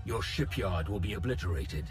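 An actor playing an imperious Sith lord in a video game, grandiose and deliberate in his menace. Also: deep voice, animated. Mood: contemptuous, menacing. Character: menacing, commanding male Sith lord of the Empire. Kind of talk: cinematic video game acting. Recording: loud orchestral game music behind.

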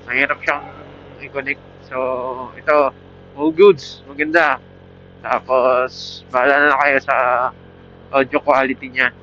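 A man's voice: medium pitch, flat delivery, unhurried, long pauses, bright, forceful tone. Filipino man vlogging through muffled helmet mic. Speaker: motorcycle vlogger reviewing gear. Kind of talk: casual product review vlog. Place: outdoors, riding a motorcycle. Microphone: Bluetooth helmet headset mic. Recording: muffled helmet intercom mic, road noise.

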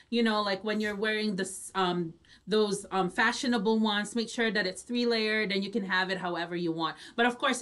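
A woman's voice: medium pitch, a bit bright. A woman hosting a show in a casual, instructive way. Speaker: radio show host. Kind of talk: casual chat, reading out instructions. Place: small indoor home studio, video call.

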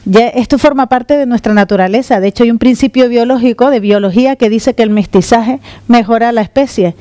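Middle-aged woman from the Canaries, conference speaker, lively and gesturing, warm smooth voice, dry sound. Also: fairly high pitch, fairly quick. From Canary Islands. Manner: lively, gesturing while walking on stage. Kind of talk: conference talk. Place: conference room with audience. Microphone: handheld microphone.

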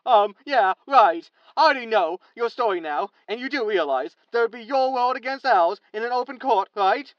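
A woman's voice: on the high side, expressive, normal speed, few pauses, dry recording, muffled recording, close to an everyday voice. A woman playing a slick, cynical tabloid media boss in a sci-fi comedy audio drama, with a sardonic, theatrical manner. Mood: smug. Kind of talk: sitcom audio drama acting.

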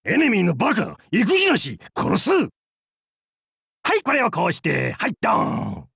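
Game pirate captain, gravelly, husky, hammy, jokey, wildly swinging pitch. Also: male voice, wildly swooping pitch, normal speed, a bit bright, no echo, clean studio sound, full character voice. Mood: hammy battle cries. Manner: hammy, theatrical, jokey otaku-style speech. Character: boisterous adult male pirate captain, crude and goofy. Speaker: game character voice. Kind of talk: voiced game character lines.

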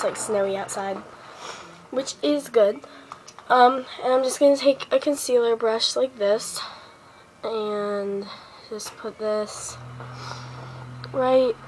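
A teenage girl with a slightly high voice, stuffy and sniffly from a cold, chatting her way through a makeup routine. She speaks close to a small device mic in her bedroom, with a faint hiss of noise behind her. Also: low-quality recording. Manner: chatty, step-by-step, talking while applying makeup. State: sick and sniffly. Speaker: beauty vlogger. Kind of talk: casual makeup tutorial. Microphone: handheld device camera close up.

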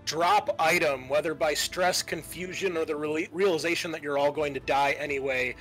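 Guy game mastering a tabletop rpg, bright voice, casual and a bit halting, faint music under it. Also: on the high side, fairly even intonation, rough recording. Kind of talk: casual tabletop game narration with rules read aloud.

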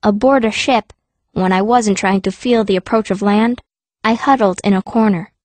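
A woman's voice: mid-range voice, moderate pace, a little mellow, no echo. A woman reading scripted first-person narration as a fierce young girl heroine of a fantasy audiobook. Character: fierce, stubborn girl stone mage telling her story.

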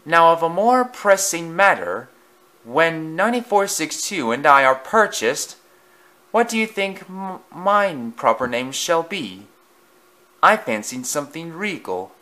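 A man's voice: a little high, bright, forceful tone, pitched above the performer's own voice, heavily acted. A man voicing a pompous, boastful big male steam engine who talks in a grand, haughty way.

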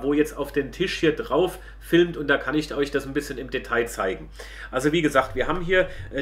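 Man, middle-aged, fairly high-pitched, casual product reviewer, explanatory, speaks directly to viewers. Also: fairly bright tone.

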